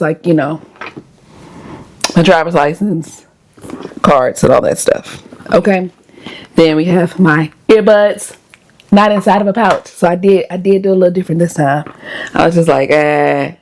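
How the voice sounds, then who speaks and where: Hoarse chatty woman vlogger. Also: lowish voice, a little mellow. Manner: chatty, talks straight to viewers. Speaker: lifestyle vlogger. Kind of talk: casual chat to viewers.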